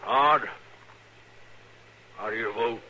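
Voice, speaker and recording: An actor playing a severe, mad middle-aged frontier preacher in an old radio western, pronouncing solemn biblical judgment. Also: male voice, medium pitch, slow pace, bright, forceful tone, highs cut off. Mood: stern judgment. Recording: noisy old radio recording.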